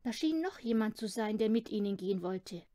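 A woman's voice: medium pitch, expressive, a little fast, warm tone, no room sound, studio quality. A woman reading a children's audiobook, narrating the story expressively. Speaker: audiobook reader.

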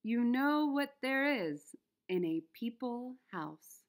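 An eager mouse from a children's storybook, as a woman reader puts him on. The voice is high and leaps about with lively pitch. It is friendly, inviting and excitable. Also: slow. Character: eager, friendly male mouse showing off a house.

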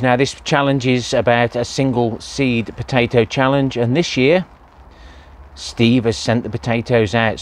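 A man talking casually through an allotment vlog outdoors, with plenty of "uh" fillers. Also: medium pitch. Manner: plain-spoken, many "uh" fillers. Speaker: allotment gardening vlogger. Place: outdoor allotment, in and around a greenhouse.

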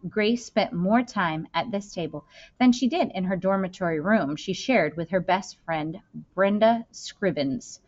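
A woman reads a children's novel aloud with expressive, deliberate phrasing. Her pitch sits in the middle for a woman. The recording is slightly dull and lo-fi, like a simple indoor setup. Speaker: school librarian reading aloud. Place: indoor room. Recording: dull, lo-fi webcam-style sound.

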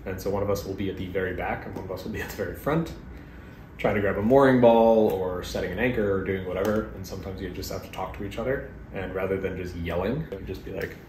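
A man in his thirties with a lowish voice talks through his video gear in a relaxed, jokey way, poking fun at himself. The room rings with echo and a clear background hiss sits under the voice. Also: fast talker. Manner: jokey, self-mocking, laughs often. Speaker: vlog host. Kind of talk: casual gear overview. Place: wood-paneled living room indoors. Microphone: clip-on lapel mic.

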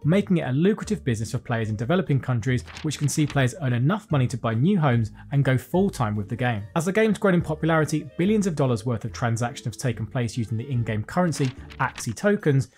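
British guy in his 30s reading finance news off a script, brisk, sneaks in dry little jokes, home studio setup. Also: mid-range voice, lively intonation, darkish tone, faint music behind. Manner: reads headlines briskly, adds wry jokes. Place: small home studio with desk and lights, indoors.

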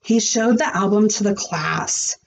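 A woman in her thirties, a teacher reading a storybook aloud with theatrical, deliberate expression, recorded in a small room at home. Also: medium pitch, creaky, rough recording.

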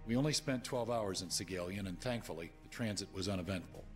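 Starship captain played by a man, space game: low, measured, dignified delivery over prominent music. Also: natural voice. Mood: formal briefing. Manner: measured, formal. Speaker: ship's captain. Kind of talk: solemn scripted speech and briefing. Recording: music behind the voice.

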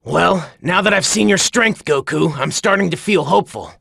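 An actor playing a serious, polite young swordsman in an anime game, with a raspy voice and an earnest, courteous manner. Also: high voice, moderate pace, a bit bright, dry recording, clean studio sound, exaggerated voice. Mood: earnest, resolute. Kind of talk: English anime game voice acting.

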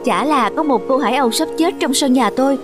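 A woman's voice: high voice, quick pace, music in the background, natural voice. A woman voicing an anxious male cat in a cartoon, an earnest, worried foster parent. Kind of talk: cartoon character acting.

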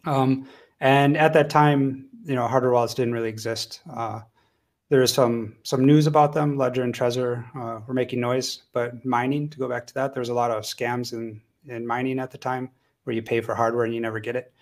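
A relaxed man talks as a panelist over a video call, his pitch sitting in the middle range. He is chatty and laughs easily, filling his pauses with plenty of um and uh. The sound is that of a call mic in a room at home. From United States. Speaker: panelist, hardware wallet maker. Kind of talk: casual panel discussion.

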